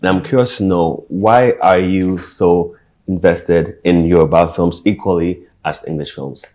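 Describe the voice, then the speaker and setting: Deep-voiced male talk show host. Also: warm tone. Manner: curious, measured questioning. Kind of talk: interview questions.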